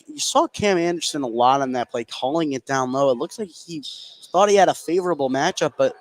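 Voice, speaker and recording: A man's voice: on the high side, sing-song, brisk, slight background noise. A man working as a color commentator, explaining a live basketball game in a conversational way.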